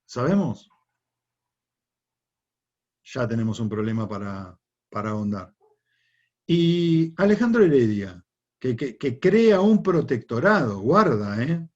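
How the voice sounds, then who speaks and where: A man's voice: mid-range voice, sing-song, slow pace, long pauses, a little mellow, dull audio. A man with an Argentine accent teaching a history class, lecturing conversationally and often checking in with rhetorical questions. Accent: Argentine Spanish.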